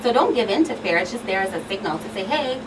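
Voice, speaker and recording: A woman's voice: mid-range voice, lively intonation, fast. A woman in her thirties speaking as a guest expert in an earnest panel discussion, explaining with everyday examples. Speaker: talk show guest expert. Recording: distant mic, clearly noisy room.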